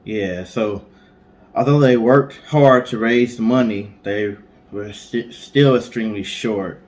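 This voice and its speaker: A man's voice: lowish voice, slow, a little mellow. A man co-hosting a casual storytelling show, speaking conversationally with informal asides. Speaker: co-host.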